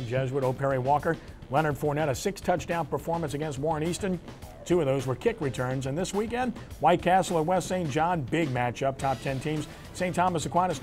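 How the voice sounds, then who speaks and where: A man hosting a sports show, speaking in punchy, clipped announcer phrases. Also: fairly high pitch. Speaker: sports talk show host. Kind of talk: sports show commentary and read promos.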